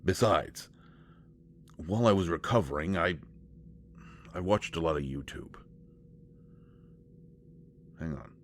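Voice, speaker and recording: A man's voice: sits low, lively intonation, slow, long pauses, slight background noise. A man playing a nervous, protective, old-fashioned country man in an intimate roleplay audio drama, speaking in a hesitant, folksy way. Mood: folksy, determined.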